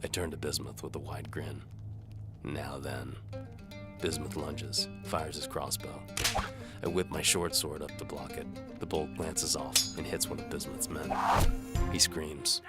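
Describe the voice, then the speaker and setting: A man's voice: a bass voice, little pitch movement, moderate pace. A man narrating an audio adventure in the first person as its hero, with a wry, hard-boiled, dramatic delivery. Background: music under the voice.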